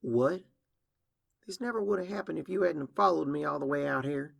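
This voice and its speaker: A man's voice: a little high, animated, normal speed, gravelly, voice pushed up. A man voicing a bratty, defiant, whiny young female pony in a petulant, indignant way for a story reading. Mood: accusing.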